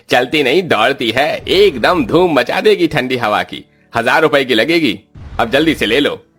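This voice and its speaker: Crooked scrap seller, played by a man: husky, scheming, fast to flatter in a cartoon tale. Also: medium pitch, faint music behind. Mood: pushy, boastful. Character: sly male junk dealer, smooth-talking swindler.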